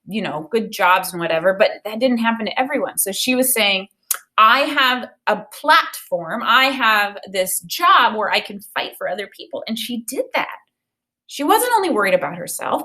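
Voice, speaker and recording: A woman's voice: on the high side, fairly bright tone. Woman teacher reading aloud. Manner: explanatory, checks understanding with "right?". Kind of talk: read-aloud of a children's picture book with teaching commentary.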